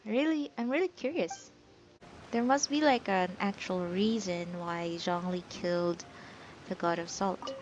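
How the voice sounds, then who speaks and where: A woman's voice: mid-range voice, unhurried, lo-fi sound, a little hiss or hum. A woman giving casual gameplay commentary, informal and reactive as she thinks aloud. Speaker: gaming streamer.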